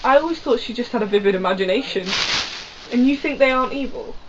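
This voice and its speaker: A woman's voice: on the high side, animated, fast, noisy background, natural voice. Jenny, a woman playing a curious, skeptical companion. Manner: questioning, lightly sarcastic. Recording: lo-fi fan audio drama.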